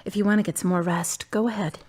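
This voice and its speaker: Woman acting a direct, caring hostess, pushes with questions, mid pitch. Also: steady tone, fast, a little mellow, slightly rough, close to an everyday voice. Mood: teasing. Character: caring, blunt woman taking in a grieving friend. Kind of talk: amateur drama acting.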